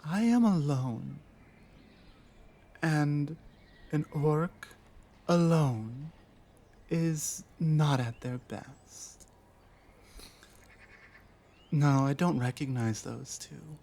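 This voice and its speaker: Casting: actress as lost orc warrior woman for ASMR roleplay; earnest, wary, unhurried, pausing often. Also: low-pitched, dark tone, full character voice. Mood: lonely, then comforted, softening. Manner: halting, earnest, deliberate phrasing with pauses. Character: lost, guarded young female orc warrior, earnest and lonely. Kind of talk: ASMR roleplay audio drama.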